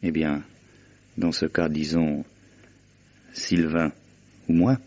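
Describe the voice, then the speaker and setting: A man's voice: a bass voice, flat delivery, slow pace, over loud music, full character voice. An actor playing an impatient, domineering young man in a French radio mystery play, exasperated and insistent with his friend. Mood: low, calculating. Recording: dull, muffled vintage radio recording.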